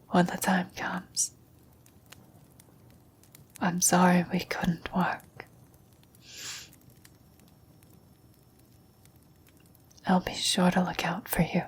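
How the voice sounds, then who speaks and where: A woman playing a gentle, wise, dying old woman in a whispered, breathy, solemn ASMR roleplay. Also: on the low side, completely flat delivery, slight background noise, lightly acted. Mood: resigned, tender. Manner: slow, solemn, measured delivery.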